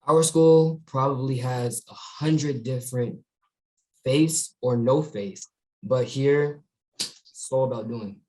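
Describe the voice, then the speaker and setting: A man playing an earnest, assertive high school student in a screenplay table read. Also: takes it slowly, darkish tone, natural voice, poor audio.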